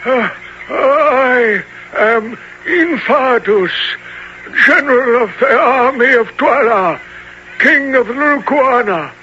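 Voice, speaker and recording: A man playing a humble old African general in a radio drama, fearful and halting as he pleads deferentially. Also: extremely high pitch, lively intonation, pushed and bright, full character voice. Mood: awed, deferential. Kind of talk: 1970s radio drama acting. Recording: muffled, noisy old radio recording.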